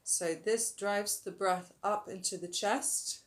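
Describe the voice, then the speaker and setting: A woman teaching a yoga breathing technique in a calm, instructive way, her voice a little rough today, sometimes stretching words slowly and dropping softer while guiding the breath. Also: mid-range voice. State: slightly rough voice. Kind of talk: breathing tutorial.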